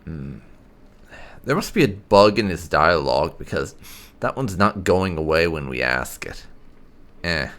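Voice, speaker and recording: A man's voice: sits low, lively intonation, measured pace, long pauses, fairly bright tone. A man doing casual let's play commentary as a gaming YouTuber, laid-back and musing, with blunt slang.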